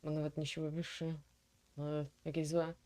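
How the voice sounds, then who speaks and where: A woman's voice: sits low, completely flat delivery, normal speed, warm tone. A woman with a Polish accent giving casual reaction commentary as a video host, musing and thinking aloud.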